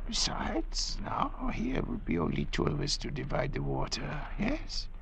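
Gravelly, scheming castaway doctor. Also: male voice, on the high side, huge pitch swings, a bit bright, heavily acted. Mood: coaxing, sly. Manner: measured, calculating, theatrical. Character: cunning, controlling male doctor adrift in a lifeboat. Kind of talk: 1940s radio drama acting. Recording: noisy vintage radio recording.

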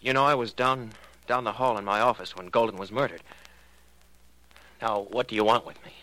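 An actor playing a blunt, defensive fiancé in a 1940s radio drama, speaking in a direct, insistent, plainspoken way. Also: male voice, lowish voice, fairly even intonation, normal speed, sharp tone, no echo, poor audio. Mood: defensive, challenging.

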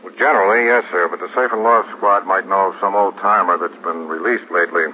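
An actor playing a seasoned American police lieutenant, gruff, clipped and businesslike, in a 1950s radio drama. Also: male voice, low-pitched, steady tone, fairly quick, close to an everyday voice. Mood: confident, wry. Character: terse, seasoned male police lieutenant giving orders. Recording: muffled, noisy 1950s radio recording.

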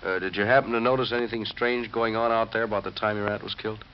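Actor as a low-voiced, folksy police lieutenant. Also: male voice, fairly even intonation, quick pace, close to an everyday voice. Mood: casually probing. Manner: hesitant, casual questioning with drawled slang. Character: folksy, world-weary male police lieutenant. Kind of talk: 1940s radio mystery acting. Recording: muffled, noisy 1940s radio recording.

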